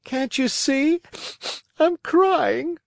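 A woman's voice: sits high, very slow, gravelly, no room sound, studio quality, voice pushed up, heavily acted. A woman voicing a naive wooden puppet boy in a storybook, pleading and melodramatic with a wailing manner. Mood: tearful. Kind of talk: storybook character reading.

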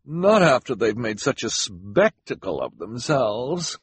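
A man's voice: mid-range voice, big pitch swings, slow, husky, dry recording, voice pushed up, full character voice. A man voicing a haughty, snappish rich woman, a callous guardian with an imperious, cutting manner. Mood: snappish, disdainful.

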